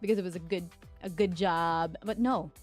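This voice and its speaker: A young woman from the Philippines, a streamer chatting casually with her viewers and answering their questions, with a low voice from a lingering sore throat. She rambles with plenty of fillers and laughs. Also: fairly even intonation, moderate pace, soft background music. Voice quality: mezzo-soprano singing voice. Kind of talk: casual chat with chat readers.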